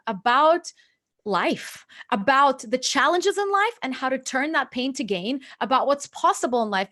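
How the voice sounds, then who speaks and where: A woman from Russia, a native Russian speaker working as a mindset coach, talks to live viewers in an unscripted, confiding and earnest way, halting at times and stretching words for emphasis. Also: medium pitch, a bit bright.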